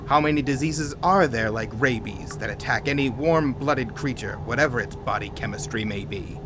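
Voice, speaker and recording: An actor playing a gruff polar researcher in an audio drama, blunt, vehement and sardonic. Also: male voice, mid-range voice, normal speed, music in the background, close to an everyday voice. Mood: vehement, uneasy.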